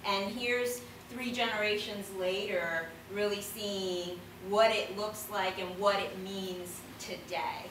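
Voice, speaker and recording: A woman's voice: medium pitch, fairly even intonation, slow pace, few pauses. A middle-aged woman talking reflectively as a parent on a discussion panel. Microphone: distant microphone. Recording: very echoey, distant, noisy room.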